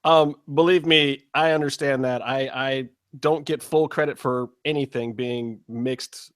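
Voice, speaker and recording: A man's voice: medium pitch, steady tone, unhurried, slight background noise. A man hosting a podcast, interviewing in a casual, chatty style.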